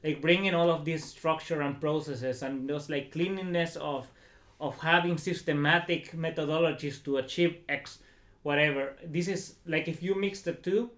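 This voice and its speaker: Higher-voiced man, echoey room. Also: fairly even intonation, normal speed, a bit bright. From El Salvador. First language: Spanish. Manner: informal, chatty, peppered with filler phrases. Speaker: interview guest, startup founder. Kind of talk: casual interview talk.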